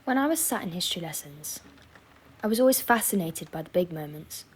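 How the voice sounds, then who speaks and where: Actress as thoughtful, low-toned young woman. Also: animated, brisk, slight background noise, lightly acted. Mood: wistful, reflective. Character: thoughtful young woman reflecting on living through history. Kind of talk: scripted reflective narration.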